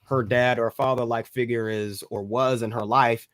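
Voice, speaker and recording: American man, average pitch, dry recording, halting, repeats himself, casual podcast discussion. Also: steady tone, fairly bright tone, dry recording. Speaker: podcast panelist.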